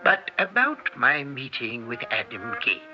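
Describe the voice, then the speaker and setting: An actor playing a mild, hesitant scientist in 1960s radio drama, speaking in a measured way. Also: male voice, mid-range voice, very animated. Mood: grave, reflective. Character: mild, hesitant male scientist recounting deaths on tape. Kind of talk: 1960s radio drama acting, first-person narration and dialogue. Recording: muffled old radio recording with music.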